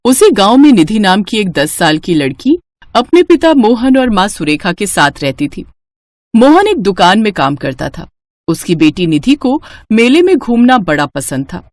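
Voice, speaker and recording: A woman's voice: medium pitch, sing-song, normal speed, darkish tone. A woman narrating a scripted moral story for children as its storyteller.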